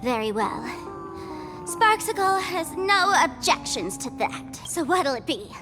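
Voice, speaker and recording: A woman's voice: very high voice, big pitch swings, unhurried, over music, full character voice. An actress playing a theatrical, cocky female trickster villain in an anime game, teasing and dramatic. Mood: teasing, mock-pleading. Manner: teasing, dramatic, speaks of herself in third person.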